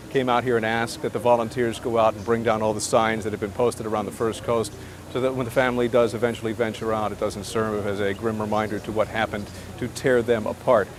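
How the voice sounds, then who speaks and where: Fast-talking American male news anchor, outdoors. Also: mid-range voice, level tone. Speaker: news anchor reporting live from the scene. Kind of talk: live breaking news broadcast. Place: outdoors in a residential neighborhood. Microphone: handheld broadcast microphone. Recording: live outdoor TV audio with some noise.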